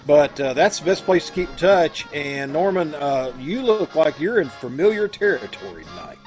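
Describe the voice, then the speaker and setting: Lively, higher-pitched man co-hosting. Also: normal speed, faint music behind. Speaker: troupe member and co-host. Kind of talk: casual announcements chat.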